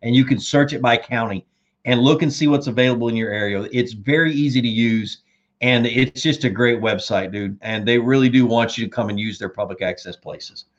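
A man hosting a casual fishing chat, reading viewer questions and answering in a relaxed, friendly way, slightly hoarse as he loses his voice. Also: mid-range voice, brisk, muffled recording. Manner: laid-back, says dude and man, reads viewer comments aloud. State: losing his voice.

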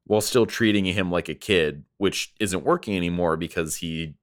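A man's voice: low-pitched, moderate pace, dry recording, clean studio sound. A man co-hosting a casual, jokey review chat, often acting out imagined lines as he talks. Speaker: podcast co-host.

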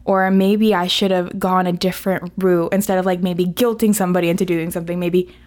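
A woman's voice: fairly low pitch, level tone, fairly quick, darkish tone. A young woman in her late teens, a native Spanish speaker, chatting informally as a student guest in a casual podcast interview, often saying "like". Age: late teens, high school student.

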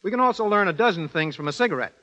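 A man's voice: sits high, lively intonation, rapid-fire, sharp tone. A man giving precise, scripted explanations as a guest expert in a formal 1930s radio interview. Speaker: guest criminologist. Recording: dull 1930s radio broadcast.

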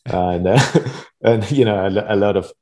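A man's voice: on the low side, normal speed, dark tone. A man from Argentina talking casually as an interview guest, in an informal way, often checking in with "right?". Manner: informal, tentative phrasing with frequent "like" and "right?". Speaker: interview guest, project creator.

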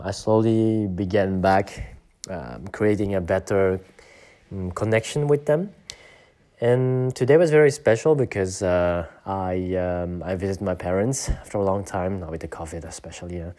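A man from Belgium in his thirties talking reflectively in a personal vlog, with many pauses, fillers and restarts. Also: fairly low pitch, a little mellow. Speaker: vlogger.

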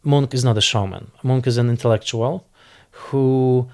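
A man speaking off the cuff as a film critic in an interview, analysing in a deliberate, emphatic way and searching for precise words. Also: medium pitch, steady tone, darkish tone. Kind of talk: unscripted analytical interview commentary.

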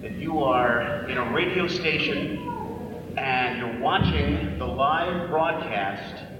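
A man's voice: medium pitch, slow, muffled recording, noisy background. A man gives an informal, hesitant explanation to a live audience as presenter. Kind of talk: informal spoken introduction to an audience. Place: live event in a hall. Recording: very echoey hall, distant microphone.

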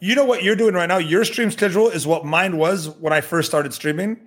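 Guy in his 30s, english not his first language, kinda high voice, jokey, trips over his words. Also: a little fast. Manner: joking, stumbling restarts. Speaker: streamer guest. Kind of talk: casual podcast chat.

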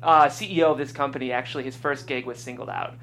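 A woman's voice: very deep voice, steady tone, fast talker, a bit bright. A woman hosting a podcast and reading scripted stage directions as narrator. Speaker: host and stage-direction reader.

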